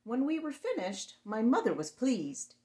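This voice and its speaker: A woman's voice: on the high side, sing-song, measured pace, darkish tone, echoey room. A woman hosting story time, reading a picture book expressively and explaining things simply for young children.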